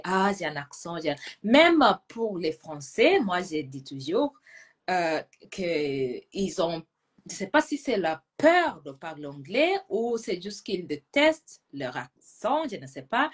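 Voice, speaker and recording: A woman's voice: medium pitch, slightly rough. A woman who hosts the talk and teaches languages, speaking in an encouraging, teacherly way in a language that is not her first. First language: not French. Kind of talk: casual conversation.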